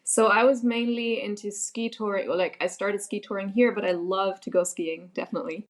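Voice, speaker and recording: A young woman from Germany, a podcast guest answering in a relaxed way, laughing easily and using many fillers. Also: medium pitch, a little fast. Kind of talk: casual interview answers.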